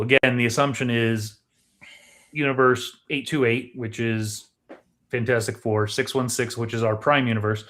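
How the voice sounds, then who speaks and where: A man's voice: medium pitch, fairly bright tone. Man casually explaining, thinking aloud. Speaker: podcast co-host. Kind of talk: casual film discussion.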